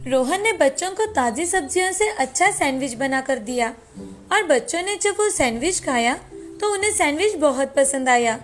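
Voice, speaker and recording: A woman's voice: high-pitched, lively intonation, moderate pace. A woman storyteller reading a scripted moral tale as narrator. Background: faint background music.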